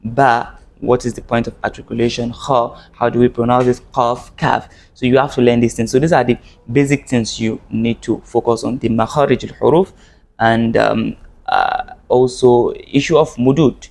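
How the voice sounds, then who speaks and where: A man gives a video lesson to camera. His pitch sits at a middle level for a man. He speaks in an earnest way, often repeating and restarting phrases as he explains. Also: gravelly, a little mellow. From Nigeria. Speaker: Arabic teacher.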